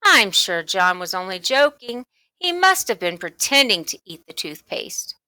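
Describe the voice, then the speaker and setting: A woman's voice: mid-range voice, big pitch swings, measured pace, sharp tone, no room sound, rough recording. A woman voicing a mild, fussing, placating mother of two in a children's storybook. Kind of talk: children's storybook read-aloud.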